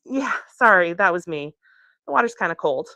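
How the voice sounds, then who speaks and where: A woman's voice: lowish voice, lively intonation, moderate pace, pushed and bright, no room sound. A woman reading a novel aloud, voicing an awkward, eager teenage girl who rambles self-consciously. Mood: flustered, friendly. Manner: flustered, rambling, self-deprecating. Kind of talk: acted dialogue in a novel reading.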